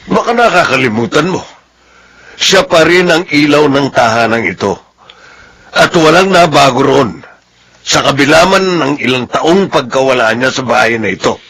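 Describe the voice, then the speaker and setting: A man's voice: medium pitch, a bit bright, slightly rough, exaggerated voice. An actor playing a middle-aged, firm and protective father in a Filipino radio drama, speaking earnestly. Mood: firm, reproachful. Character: firm, protective father defending his returned wife.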